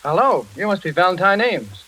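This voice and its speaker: An actor playing a grim, resolute engineer with a blunt, decisive manner in 1940s radio style. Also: male voice, sits high, big pitch swings, a little fast, pushed and bright, a little hiss or hum, exaggerated voice, rough recording. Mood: friendly. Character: grim, resolute male engineer bent on solving murder.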